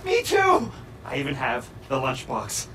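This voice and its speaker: A man playing an excitable young hacker superfan in a video game cutscene. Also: medium pitch, a little slow, full character voice. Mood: excited. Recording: echoey room with noisy ambience.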